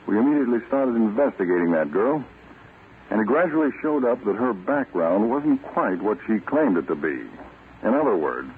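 Deep-voiced stern government agent, old radio. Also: male voice. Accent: American. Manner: deliberate, authoritative, explanatory. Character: stern, self-assured male American government counterspy chief. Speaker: radio drama actor. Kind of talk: 1940s radio acting. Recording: muffled, tinny, noisy old radio recording.

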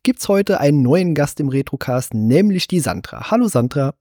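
A man's voice: on the high side, sing-song, normal speed, mellow, dry recording, clean studio sound. A man hosting a film podcast in a casual, conversational style. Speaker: podcast host.

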